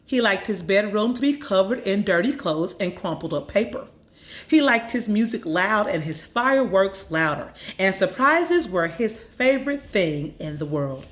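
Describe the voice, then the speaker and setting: A woman reading a children's story aloud as a storyteller, with measured, expressive phrasing and dramatic emphasis. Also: fairly low pitch. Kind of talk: expressive scripted story narration.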